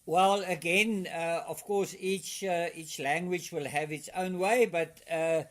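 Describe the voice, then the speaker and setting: A middle-aged South African man with an Afrikaans accent, a guest in a casual interview, talking haltingly with many fillers and restarts. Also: on the high side, fairly even intonation, a bit bright.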